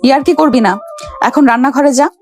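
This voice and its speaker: A woman's voice: high-pitched, brisk. A woman playing a haughty, cruel young rich boss in an audio story, scolding and threatening imperiously. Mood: mocking, bossy. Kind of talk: Bengali audio story acting. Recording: background music under the voice.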